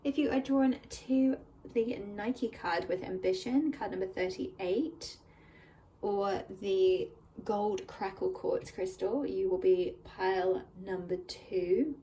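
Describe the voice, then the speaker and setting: A woman with a slightly croaky voice from a cold, gently guiding viewers through a pick-a-card tarot reading at a table. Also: medium pitch, takes it slowly, distant mic, slight background noise, low-quality recording. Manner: gentle, warm address to viewers. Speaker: tarot reader and channel host. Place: indoors at a table, hands-only camera.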